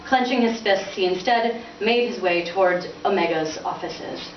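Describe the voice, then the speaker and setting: A woman author giving an expressive, lightly dramatized live reading of fiction. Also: medium pitch, moderate pace, distant mic. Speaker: author reading from their novel. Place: indoors in a bookstore. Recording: muffled, echoey, noisy room from afar.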